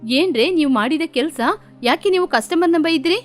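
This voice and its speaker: Woman as a mother bird in a cartoon, stern, firm, high voice, faint music. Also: normal speed, close to an everyday voice. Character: female bird mother, scolding but caring.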